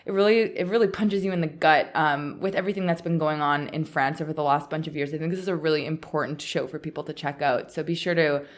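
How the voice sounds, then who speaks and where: A woman with a Canadian accent talks casually as the co-host of a podcast. Her voice sits a little lower than most women's. The delivery is conversational and unscripted. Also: fairly even intonation, fast talker, few pauses.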